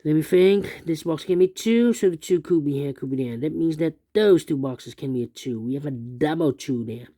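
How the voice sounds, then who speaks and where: A man's voice: on the high side, normal speed, hardly any pauses, mellow, dry recording. A man giving an unscripted puzzle tutorial, thinking aloud in methodical, repetitive sing-song phrases. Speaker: puzzle instructor.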